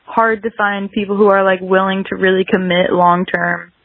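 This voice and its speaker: A dry, slightly dull recording of an American woman in her early twenties. She chats casually as a podcast guest at an average pitch, hedging often and peppering her sentences with "like.". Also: level tone, moderate pace, vocal fry, no room sound. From South Carolina, United States. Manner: hedging, very frequent "like" filler. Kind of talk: casual interview chat.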